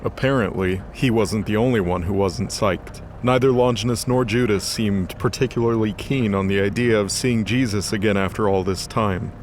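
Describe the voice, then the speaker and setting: Lowish-voiced male story narrator. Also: steady tone, moderate pace, few pauses, background noise. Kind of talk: scripted dramatic story narration.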